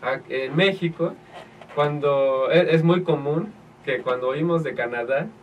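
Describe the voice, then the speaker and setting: A man's voice: on the high side, unhurried, dull audio. A male radio host chatting with guests, talking hesitantly with many fillers. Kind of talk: casual radio chat. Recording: distant mic with faint noise.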